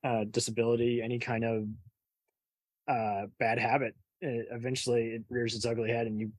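A man's voice: mid-range voice, level tone, fairly quick, breathy edge, dry recording. A man hosting a casual interview, agreeable and slangy, often chiming in to affirm his guest. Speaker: podcast interviewer and host.

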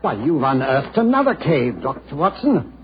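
An obliging local gentleman with a fairly high, animated voice. He explains things politely and hesitantly, in the style of vintage radio acting. The recording is dull with light noise. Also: moderate pace. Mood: eager, cautious. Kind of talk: 1940s radio drama acting.

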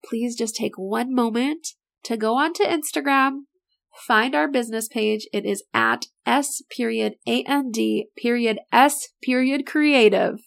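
A middle-aged woman hosting her own podcast, chatting in an enthusiastic, motivational way, her voice a bit raspy and tired. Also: a little high, measured pace. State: voice getting raspy, not feeling great. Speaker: podcast host and business owner. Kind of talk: casual solo podcast talk.

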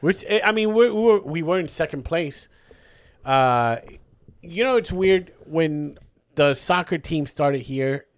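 A man's voice: on the high side, lively intonation, unhurried, clean tone, no echo. Podcast guy swearing a lot, really swingy voice going up and down, dry close sound. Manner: loose, profane, emphatic storytelling. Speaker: podcast co-host. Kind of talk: casual chat among friends. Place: podcast studio.

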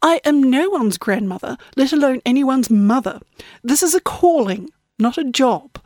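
A woman's voice: high voice, lively intonation, normal speed, a little mellow, no echo, studio quality, voice pushed up. A woman voicing a prim, imperious old woman who speaks formally and precisely in an audiobook. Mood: indignant, prim.

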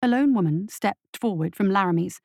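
A woman's voice: medium pitch, lively intonation, moderate pace, vocal fry, darkish tone, dry recording. Dry-recorded woman narrating dramatic fiction. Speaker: audiobook narrator.

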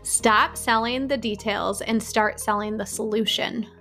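Woman co-host, higher pitch, gravelly fry, upbeat and direct, faint music behind. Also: steady tone, unhurried, pushed and bright. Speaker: podcast co-host. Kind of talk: rapid-fire podcast tips.

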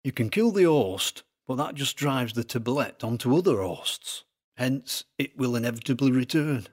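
A man's voice: mid-range voice, lively intonation, moderate pace, a little mellow, voice pushed up, natural voice. A man voicing Kane, a broad-voiced Lancastrian researcher explaining eerie lore to his friend. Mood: earnest explaining.

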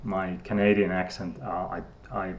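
A man's voice: low voice, level tone, moderate pace, muffled recording, a little hiss or hum. Hesitant Finnish-accented man. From Finland. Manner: hesitant, many fillers and restarts. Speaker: guest scientist. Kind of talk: casual interview talk.

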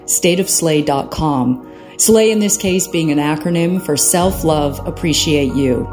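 A woman delivers a scripted promo. Her pitch is a little low, and music plays clearly behind her. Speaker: actor, producer and writer promoting a blog.

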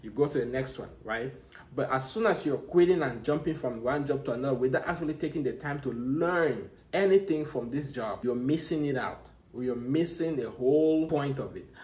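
A man from Cameroon talks to his podcast listeners in an earnest, motivational tone, often restarting his sentences. His voice sits at a medium pitch and carries a noticeable room echo. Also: moderate pace, darkish tone. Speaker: podcast host. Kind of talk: solo podcast monologue.

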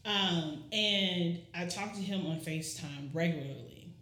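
A woman's voice: lowish voice, a little slow, a bit bright. A woman co-hosting a podcast in casual chat, emphatic and repeating her key phrases. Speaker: podcast co-host. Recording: roomy echo.

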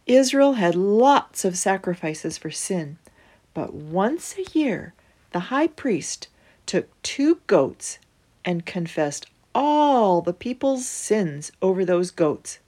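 A woman reading a children's storybook aloud as host, with deliberate phrasing and emphatic pauses. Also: medium pitch, big pitch swings, warm tone.

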